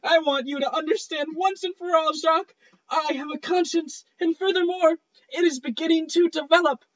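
A woman's voice: extremely high pitch, fairly even intonation, normal speed, no echo, highs cut off. A woman playing a haughty, self-important widow in a comedic play reading, speaking in a grand, emphatic, theatrical way. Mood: lofty, self-righteous.

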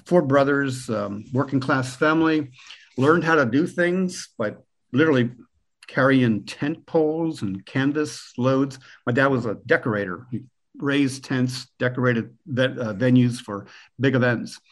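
A man in his sixties from Pennsylvania with an American accent, a guest on a podcast telling relaxed, rambling stories with the odd chuckle. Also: medium pitch, a little slow. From Pennsylvania, USA. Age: 60s. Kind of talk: relaxed conversational storytelling.

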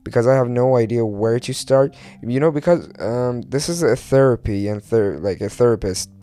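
A man's voice: mid-range voice, moderate pace, mellow, clean tone. A man from Iran who later moved to Turkey talks alone as a podcast host in a rambling, confessional way, hesitant and self-deprecating, swearing casually.

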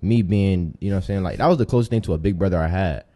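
Podcast guest: American male, early twenties, low mellow voice, loose slangy storytelling. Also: racing. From Tampa, Florida, United States. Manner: slangy, informal street talk. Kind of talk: casual chat, storytelling.

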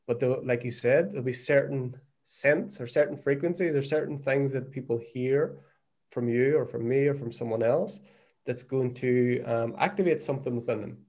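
Northern Irish male guest, 40s, relaxed dark timbre, sincere and searching, restarts phrases mid-thought. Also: mid-range voice. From Northern Ireland. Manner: earnest, searching, repeats words while thinking. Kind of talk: reflective conversational podcast talk.

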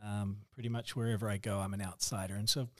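A man with a New Zealand accent, a guest in a podcast interview, speaking in an earnest, measured way with ums. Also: fairly low pitch, level tone, a little mellow, no room sound, clean studio sound. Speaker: guest, ministry leader and author.

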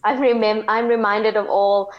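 A woman's voice: medium pitch, level tone, moderate pace, dull audio. A young woman in her early twenties with a South African accent, a gracious, enthusiastic interview guest. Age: early 20s.

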